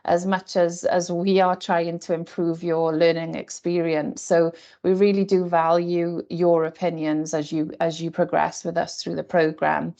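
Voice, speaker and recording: A woman's voice: lowish voice, level tone, measured pace, vocal fry, few pauses, warm tone, highs cut off. A woman lecturer speaking earnestly on a video call, with frequent fillers. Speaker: programme lecturer. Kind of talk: online open day presentation.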